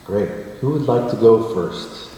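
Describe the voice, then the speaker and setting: Guy hosting a community forum in a big wooden hall, low voice, lots of uh's, sounds far off with long echo. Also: warm tone, slight background noise. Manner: halting, many fillers and restarts. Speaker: forum host. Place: large wood-paneled hall with wood floor. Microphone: handheld mic, heard from a far camera.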